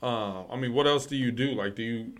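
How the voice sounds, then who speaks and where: A man in his thirties hosting a casual interview, easygoing and quick to agree. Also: mid-range voice. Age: 30s. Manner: easygoing, lots of short agreements.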